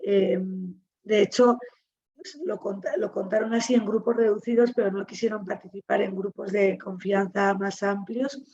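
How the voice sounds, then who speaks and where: A woman's voice: mid-range voice, level tone, moderate pace, warm tone, highs cut off. A woman with a Spanish accent from Spain, a panel moderator speaking reflectively and searching for her words. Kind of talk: webinar panel talk.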